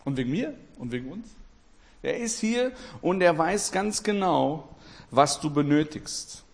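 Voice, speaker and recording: A man from Portugal preaching a sermon with passion, repeating his phrases and swinging between loud and quiet. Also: on the high side, animated, a little slow.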